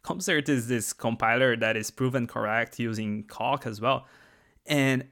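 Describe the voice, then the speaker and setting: A man's voice: mid-range voice, unhurried, fairly bright tone. A man in his twenties from Brazil with a Brazilian Portuguese accent, a native Portuguese speaker, hosting a solo podcast in a chatty, enthusiastic way with restarts and asides. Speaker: podcast host.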